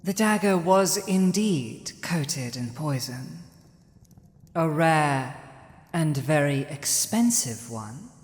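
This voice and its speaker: A woman playing a villainous, protective, domineering dragon ruler in an ASMR roleplay drama, commanding and deliberate. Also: deep voice, expressive, darkish tone. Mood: serious, probing. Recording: echoey hall, long reverb.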